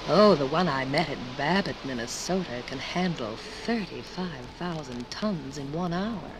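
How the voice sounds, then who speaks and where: A man's voice: fairly high pitch, lively intonation, noisy background. A man playing a proud, long-snubbed talking rock, addressing the listener theatrically in 1950s radio drama style. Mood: eager, impressed. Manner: theatrical, deliberate storytelling to the listener. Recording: 1950s radio LP, loud music behind.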